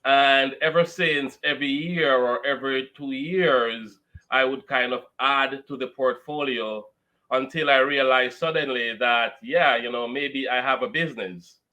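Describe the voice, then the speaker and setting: A man's voice: mid-range voice, steady tone, a little slow, sharp tone. A man with a Jamaican accent giving his background as an investor guest in an interview. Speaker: real estate investor, podcast guest. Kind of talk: interview, recounting career background.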